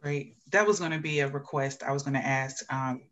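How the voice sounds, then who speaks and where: City staff person on a zoom call, bright voice, kinda practical, lots of "you know". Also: female voice, extremely low pitch, fairly even intonation, a little fast. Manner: guiding, practical, says "you know" often. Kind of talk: video call meeting. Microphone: video call.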